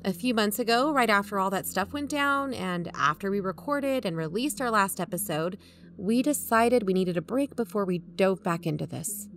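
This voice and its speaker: A woman's voice: medium pitch, lively intonation, moderate pace, fairly bright tone. A woman playing an earnest, dry-humoured investigator who co-hosts a podcast, narrating in a plain-spoken, conversational way. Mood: reflective. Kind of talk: conversational scripted audio drama narration. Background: background music.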